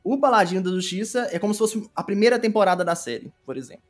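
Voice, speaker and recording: A man's voice: high voice, expressive, fast, faint music behind. A Brazilian man talking casually as an interview guest, explaining things step by step with examples. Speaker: podcast guest, audio drama creator.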